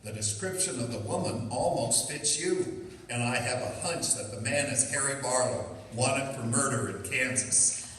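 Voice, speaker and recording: A man's voice: medium pitch, moderate pace, a bit bright, natural voice. A man playing a brusque, suspicious, sardonic police inspector in an old-time radio detective drama. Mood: stern, sardonic. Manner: brusque, insistent, wry. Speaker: amateur radio theatre actor. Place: live stage performance in a large room. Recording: very echoey hall from far off, faint noise.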